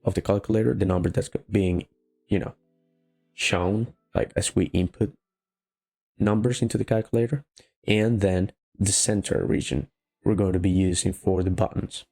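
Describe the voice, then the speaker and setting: Male coding host, Venezuelan Spanish accent, gravelly and mellow, thinking out loud in a casual, halting walkthrough. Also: low voice, steady tone. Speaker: programming tutorial host. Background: faint music, keyboard typing.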